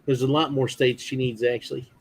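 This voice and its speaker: A man's voice: medium pitch, little pitch movement, brisk, a little hiss or hum. A man hosting a livestream in casual, conversational chat. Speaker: livestream host.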